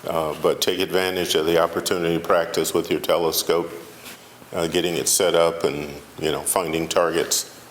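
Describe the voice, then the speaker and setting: A man hosting a club meeting, encouraging members in a steady, plain way. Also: low voice, normal speed. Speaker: meeting host. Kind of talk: casual meeting chat. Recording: echoey hall with faint noise.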